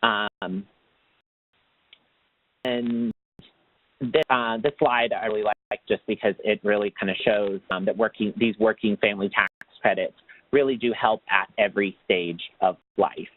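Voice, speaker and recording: A man presenting slides in a webinar, in a formal read-out way that turns halting off script, heard over a phone line. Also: lowish voice, fairly even intonation. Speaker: webinar presenter, tax assistance program manager. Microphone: phone or conference line. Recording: tinny, narrow phone line.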